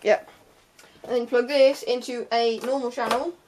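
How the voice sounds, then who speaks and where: A woman's voice: fairly high pitch, steady tone, measured pace, a little mellow, slight background noise. A young woman gives an informal, unscripted hands-on tutorial in a hesitant, offhand way, trailing off into asides. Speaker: sound tech tutorial presenter.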